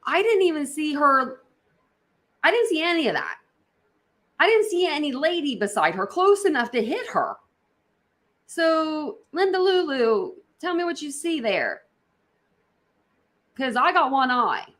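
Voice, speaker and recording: A woman's voice: high-pitched, very animated, unhurried, long pauses, fairly bright tone. A woman hosting a casual livestream, reacting to videos in a rambling way, repeating herself and talking straight to her viewers. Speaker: livestream host.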